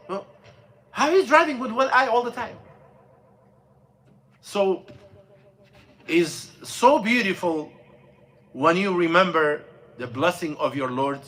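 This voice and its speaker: A man, an imam, giving an informal mosque lesson on scripture, halting and searching for words, with non-native English grammar and frequent fillers. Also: high-pitched, pushed and bright. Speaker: imam teaching a class.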